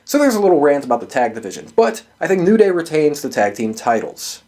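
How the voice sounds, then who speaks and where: A man's voice: a little high, very animated, brisk, a little mellow. A man hosting a podcast, giving casual, opinionated solo commentary in a blunt, exasperated way with frequent swearing. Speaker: wrestling podcast host giving predictions.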